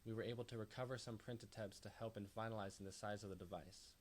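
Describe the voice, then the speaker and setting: A man's voice: on the low side, fairly even intonation, fast talker. A young man reading a scripted technical presentation as an engineering student. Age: young adult. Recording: faint background noise.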